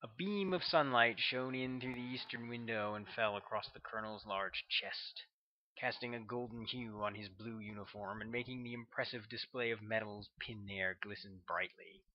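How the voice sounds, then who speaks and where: An author reading his own novel aloud as an audiobook narrator, with steady, measured storytelling on a slightly muffled recording. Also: on the low side, no room sound.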